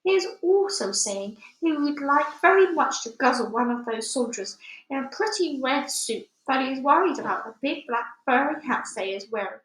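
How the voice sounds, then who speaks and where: A big, gentle male giant from a storybook, played by a woman reading aloud. He is gloomy and speaks in muddled, folksy grammar. The voice sits fairly high and rings in an echoey room. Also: lively intonation, moderate pace. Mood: matter-of-fact. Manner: garbled, ungrammatical folksy speech.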